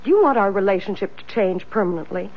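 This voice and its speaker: An actress playing an assertive, direct, worldly woman in a 1970s radio drama, speaking emphatically and deliberately. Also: mid-range voice, lively intonation, slight background noise, lightly acted. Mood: insistent. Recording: dull vintage radio recording.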